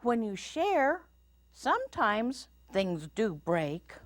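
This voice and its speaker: A woman's voice: fairly high pitch, very animated, extremely slow, no echo, voice pushed up, exaggerated voice. A woman voicing a sweet, breezy, unapologetic little girl in a children's story, cheerful in manner.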